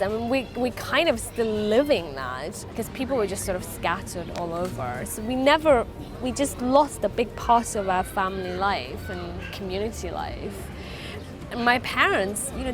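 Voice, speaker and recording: A woman from Afghanistan whose first language is Farsi, giving earnest, halting interview answers about her life. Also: mid-range voice, very animated, over music. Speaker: interviewee, refugee charity founder.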